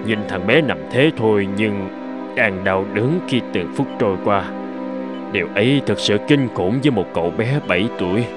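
A middle-aged cartoon doctor voiced by a man, grave and sorrowful. His voice sits fairly low and he speaks solemnly and measuredly, with clear music underneath. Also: moderate pace, natural voice. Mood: grave, regretful. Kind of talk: Vietnamese cartoon voice acting.

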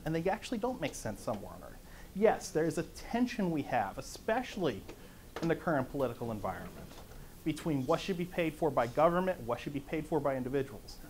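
Middle-aged man, conference talk, slightly high voice, faint room noise. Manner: conversational, lively, asks the audience "right?". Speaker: conference speaker. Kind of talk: lecture on economics.

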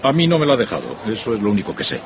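A man's voice: lowish voice, huge pitch swings, very fast. An actor playing a wry, offhand businessman gossiping without sympathy in a radio drama. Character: callous, jokey businessman gossiping. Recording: dull radio recording with noisy street ambience.

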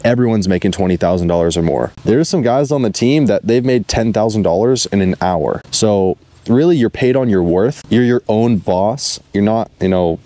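Laid-back young man, low mellow voice. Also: moderate pace, hardly any pauses, dry recording. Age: young adult. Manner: enthusiastic, salesy pitch with filler "you know". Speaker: guest, solar sales team leader. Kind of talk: casual chat. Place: outdoors on grass by a van.

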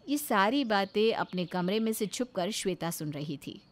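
A woman's voice: medium pitch, expressive, normal speed, a little hiss or hum. A woman narrating a scripted story as its storyteller.

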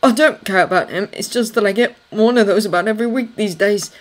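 A woman's voice: mid-range voice, fairly quick, voice pushed up, lightly acted. A grieving young servant man, acted by a woman narrator, speaks in raised, agitated tones. He is cross and bitter, throwing out curt British slang with a sarcastic edge. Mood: bitter, upset.

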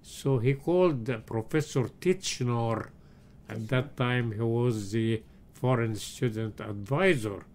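Slow, halting older man. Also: mid-range voice, darkish tone. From Egypt. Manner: slow, halting storytelling with many pauses and fillers. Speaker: interviewee, retired engineering professor. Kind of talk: oral history interview. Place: his home.

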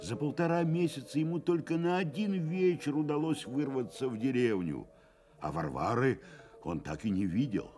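A man narrating a radio drama in a measured, expressive literary storytelling manner. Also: medium pitch, soft background music.

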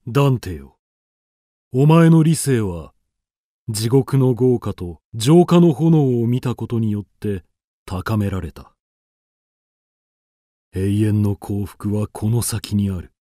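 An actor playing a grave poet and wise mentor, solemn and measured, in a radio drama. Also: male voice, lowish voice, dark tone, no echo, clean studio sound. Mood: grave, instructive.

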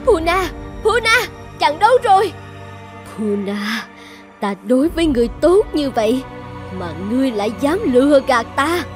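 Woman acting high-voiced proud dragon goddess. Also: very animated, normal speed, soft background music. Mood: betrayed, vengeful. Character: proud dragon goddess, devoted wife turned vengeful. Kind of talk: dubbed cartoon fairy-tale acting.

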